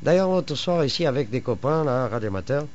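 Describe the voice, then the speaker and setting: A man chatting casually as an amateur radio operator, halting often as he searches for words. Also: medium pitch, expressive, a little mellow, lo-fi sound, slight background noise. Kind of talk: casual chat over radio.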